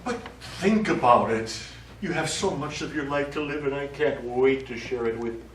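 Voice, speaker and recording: A man on stage plays a self-satisfied, lecherous lawyer, theatrical and leering. His middle-pitched voice comes from a distance in a very echoey hall, with faint noise behind it. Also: normal speed, fairly bright tone, lightly acted. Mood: wheedling, amorous. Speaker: stage actor. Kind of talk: live comic one-act stage play acting. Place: small theatre studio stage.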